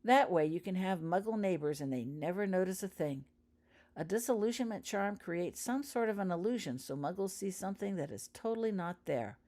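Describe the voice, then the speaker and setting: A woman's voice: lowish voice, expressive, brisk, warm tone, pitched above the performer's own voice, natural voice. A woman voicing a knowledgeable, helpful male student prefect explaining things in a storybook reading.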